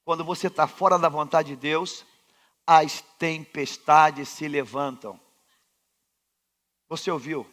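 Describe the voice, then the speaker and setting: A Brazilian pastor with a Rio de Janeiro accent, preaching a sermon in a large church sanctuary, who poses rhetorical questions and repeats refrains, swinging from quiet to loud. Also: male voice, a little high, steady tone, slow, pauses often. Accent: Rio de Janeiro Brazilian Portuguese.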